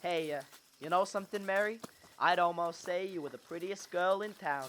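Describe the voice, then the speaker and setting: A young woman acting an earnest, restless young man in a radio drama, with halting, stammered hesitations. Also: fairly low pitch, slight background noise, close to an everyday voice. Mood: playful. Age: young adult. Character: restless, eager young man dreaming of big things. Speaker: student actor.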